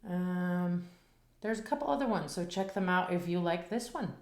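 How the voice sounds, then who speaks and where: A woman reading a children's picture book aloud in a slow, expressive, rhythmic way, after a casual spoken intro. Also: on the low side, reverberant.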